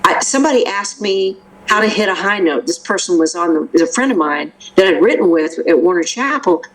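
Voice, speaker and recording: A woman's voice: on the low side, animated, brisk, rough recording. An older woman from the Memphis area, a vocal coach talking casually in an interview, rambling with restarts and fillers.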